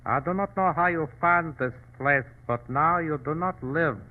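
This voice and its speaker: An actor playing a menacing but servile shopkeeper henchman in an old radio drama, speaking halting broken English. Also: on the high side, natural voice. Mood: cold, menacing. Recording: tinny, band-limited old radio recording.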